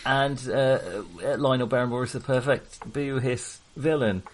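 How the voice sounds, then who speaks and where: A man's voice: mid-range voice, steady tone, moderate pace, poor audio. A man co-hosting a casual film discussion, chatty, enthusiastic and full of opinions. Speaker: film podcast co-host.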